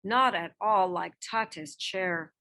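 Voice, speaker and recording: A woman reading a children's picture book biography aloud in expressive narration, with measured phrasing and dramatic emphasis. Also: on the low side. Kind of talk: expressive scripted storybook narration.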